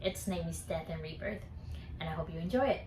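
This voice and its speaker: Soft-spoken woman in an echoey room. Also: sits low, a little fast, noisy background. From Colombia. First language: Spanish. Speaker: singer-songwriter. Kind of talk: casual talk to camera.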